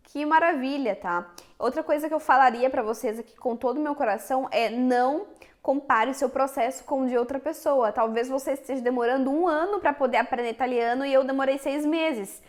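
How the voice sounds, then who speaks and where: A woman's voice: sits high, brisk. A young Brazilian woman with a Minas Gerais accent, chatting frankly and directly to her viewers in a casual vlog. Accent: Minas Gerais Portuguese. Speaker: vlogger. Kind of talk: casual chat to camera.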